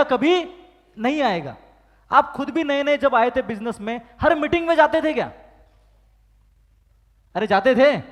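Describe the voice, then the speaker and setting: High-voiced trainer in a big hall. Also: male voice. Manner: asks the crowd questions and demands loud answers; acts out phone calls and excuses in dialogue. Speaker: business trainer. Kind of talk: motivational training talk to an audience. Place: large hall with live audience. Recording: long hall reverb.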